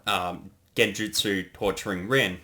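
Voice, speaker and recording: Low-voiced man giving casual commentary. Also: steady tone, bright, forceful tone. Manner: explanatory, thinking aloud with pauses.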